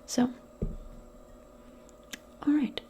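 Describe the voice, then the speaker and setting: A woman speaking softly and breathily, often in a whisper, in a gentle, hesitant ASMR roleplay as a modern witch. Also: background noise. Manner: gentle, unhurried, hesitant and improvised. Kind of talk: soft-spoken, whispered ASMR personal attention roleplay.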